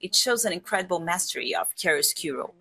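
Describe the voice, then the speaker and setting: A woman's voice: lowish voice, lively intonation, fast talker, pushed and bright, breathy edge. A woman reading a translated interview as a precise, articulate voice-over.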